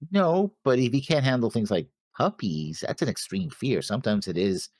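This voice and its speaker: A man's voice: mid-range voice, big pitch swings, normal speed, darkish tone, no echo. A man co-hosting a reaction show in casual chat, rambling and thinking aloud as he talks. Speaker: co-host of an anime reaction show.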